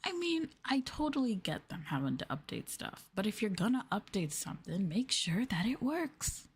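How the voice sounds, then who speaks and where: A woman streamer chatting casually in a soft, hushed ASMR style, rambling gently and sometimes drifting into whispers. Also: mid-range voice, very animated, normal speed, slight background noise. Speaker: streamer and VTuber host. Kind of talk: soft-spoken ASMR casual chat to live viewers.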